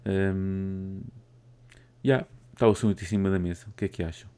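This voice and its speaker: A man's voice: deep voice, steady tone, normal speed, mellow, clean tone. A man with a European Portuguese accent hosting a casual gaming podcast, rambling along with many fillers. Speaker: podcast host.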